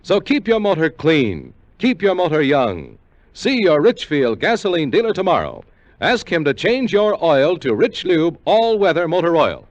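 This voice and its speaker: A man's voice: a little high, big pitch swings, normal speed. An American man working as a 1950s radio announcer, reading scripted promotion and credits. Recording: muffled vintage 1950 radio recording.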